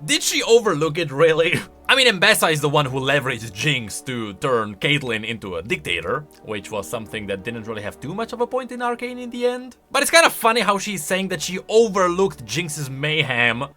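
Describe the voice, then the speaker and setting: A man's voice: on the high side, big pitch swings, fairly quick, hardly any pauses, sharp tone, soft background music. A man giving casual, chatty commentary on video game lore, thinking aloud with emphatic delivery.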